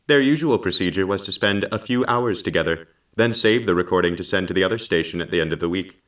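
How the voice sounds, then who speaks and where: Deep-voiced calm male storyteller. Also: quick pace, distant mic. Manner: calm, patient explaining. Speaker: storyteller narrator.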